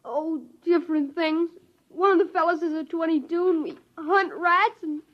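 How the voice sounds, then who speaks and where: A woman's voice: very high-pitched, moderate pace. A woman playing an earnest, distraught twelve-year-old boy in an old radio drama. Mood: sheepish. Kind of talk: 1950s radio drama acting. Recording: dull old radio recording.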